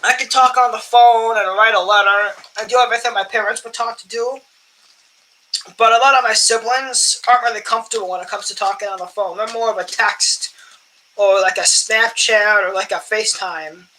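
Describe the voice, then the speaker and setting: A young man with a voice higher than most men's, talking in a loose, rambling way as he sorts coins. His tone is bright and forceful, and he often breaks off to clear his throat and laugh at himself. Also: steady tone, normal speed, a little hiss or hum, low-quality recording. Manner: chatty, self-amused, often clears throat. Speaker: coin collecting channel host. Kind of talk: casual rambling vlog chat while sorting coins.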